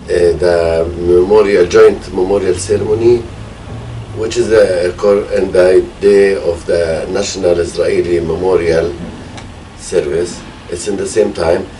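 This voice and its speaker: A middle-aged man from Palestine with a Palestinian Arabic accent, explaining his activism hesitantly, with many pauses. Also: low-pitched, fairly even intonation, dark tone. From Palestine, Jerusalem area. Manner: hesitant, frequent pauses and hedging fillers. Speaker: peace activist, organization co-founder. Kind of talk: explanatory interview answer. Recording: distant mic, faint background noise.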